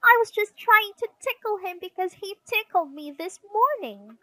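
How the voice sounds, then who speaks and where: A woman's voice: extremely high pitch, animated, moderate pace, pushed and bright, dry recording, pitched above the performer's own voice, full character voice. A woman voicing an indignant young girl bear cub in a storybook, whiny and protesting.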